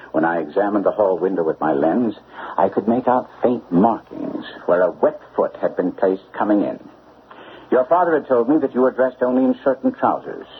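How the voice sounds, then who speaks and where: An actor playing a shrewd, self-assured male detective in a classic radio drama, speaking precisely and formally. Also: on the low side. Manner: precise, formal, incisive delivery. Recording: phone-like old radio recording, faint noise.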